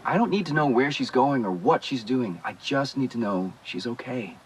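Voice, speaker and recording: A man's voice: mid-range voice, animated, normal speed, a little hiss or hum, lightly acted, low-quality recording. An actor playing a worried, guarded but caring man in a video game, speaking hesitantly and conversationally. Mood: rueful, concerned. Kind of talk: naturalistic video game acting.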